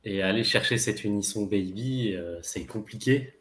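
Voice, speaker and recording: A man's voice: fairly low pitch, normal speed, a bit bright, echoey room. A man on a card game panel, casually explaining plays step by step with trailing restarts. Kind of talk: casual strategy discussion.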